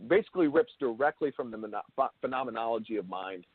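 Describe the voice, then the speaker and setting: Man explaining haltingly over a phone-like line. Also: medium pitch, animated, husky, dry recording. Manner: halting, repeats and rephrases himself, emphatic on key words. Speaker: show host interviewing a guest. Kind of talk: unscripted explanatory discussion. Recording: thin phone-like call audio.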